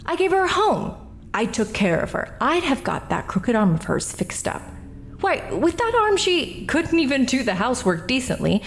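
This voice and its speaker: An actress playing a defensive, self-righteous middle-aged woman testifying at an inquest, talking in an indignant, sharp-tongued way. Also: high voice, sing-song, normal speed, vocal fry, a little hiss or hum, full character voice. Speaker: witness at an inquest. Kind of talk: 1940s radio acting.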